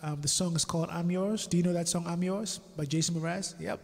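A man's voice: a little high, fairly even intonation, brisk, creaky, in a large hall. A young man from Nigeria playing and singing at home into a stand mic, with joking patter between songs. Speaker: musician performing. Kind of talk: live acoustic song set with banter. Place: living room at home. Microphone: stand mic in front of him. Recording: loud guitar over the voice. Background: his own acoustic guitar.

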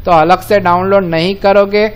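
An Indian man with a fairly high voice walks viewers through software on screen. He explains each step informally, in a friendly form of address, and drops English technical terms into his speech. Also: fairly even intonation, moderate pace, smooth. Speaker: software tutorial presenter.

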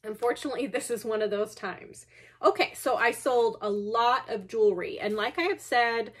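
A congested woman in her thirties chats away as she packs up orders, a little sniffly, rambling with fillers and self-deprecating asides. Her voice sits a touch high, picked up by a camera on the desk in a large cluttered room. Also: normal speed, a bit bright. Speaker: online reseller. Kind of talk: chatty packing vlog. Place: large cluttered indoor workspace.

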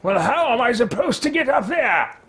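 A man's voice: very high-pitched, sing-song, moderate pace, bright, forceful tone, slightly rough, heavily acted. A man in his thirties voicing an arrogant, bitter, power-hungry wizard in a video game roleplay, speaking in a pompous, theatrical, archaic manner. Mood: haughty, weary. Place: small home room, indoors.

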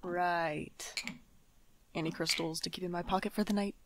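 A woman's voice: on the low side, steady tone, moderate pace, background noise, lightly acted. A woman playing a wry, hapless twenty-five-year-old in an audio drama, self-deprecating, with dry asides and an anxious streak. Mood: teasing. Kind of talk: audio drama narration and dialogue.

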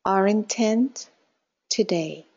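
A woman guides a meditation at an extremely unhurried pace. Her delivery is calm and measured, in a mid-range voice, with phrases that settle softly at the end. The recording sounds a little muffled. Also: medium pitch, slightly rough. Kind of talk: guided meditation, slow spoken instructions with long pauses.

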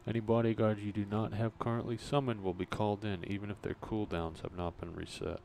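A man's voice: on the low side, level tone, a little fast, gravelly. A man giving commentary as he plays a video game, hosting a let's play. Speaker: gaming video host. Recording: noisy, game sounds behind voice.

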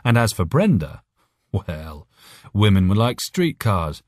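A male audiobook narrator reads a scripted short story. His voice sits low, and the sound is dry, with very little room in it. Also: very animated, slow pace, darkish tone, no room sound.

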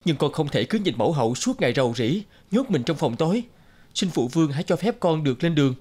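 A man's voice: fairly high pitch, big pitch swings, fast, a little mellow, slightly rough. A man voicing an earnest, polite young prince in a fairy-tale cartoon. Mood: pleading.

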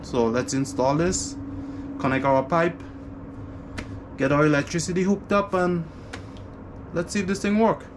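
A man narrating a home repair vlog in a basement, explaining each step in a relaxed, casual way. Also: fairly high pitch, measured pace, pauses often, noisy background. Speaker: home renovation vlogger. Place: unfinished basement indoors.

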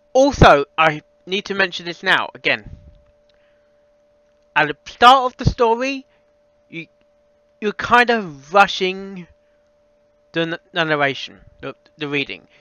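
A young man giving a casual spoken critique as a reaction host, halting and often repeating himself. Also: high voice, pushed and bright, clear, resonant tone, no echo. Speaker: reaction video host reviewing a fan audio reading. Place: small room at a computer, indoors. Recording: dull, slightly lo-fi close recording.

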